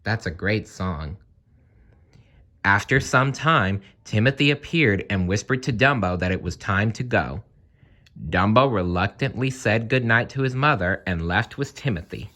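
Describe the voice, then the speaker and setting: Animated man reading a children's story. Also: fairly low pitch, a little slow. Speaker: story reader. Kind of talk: expressive children's read-aloud narration.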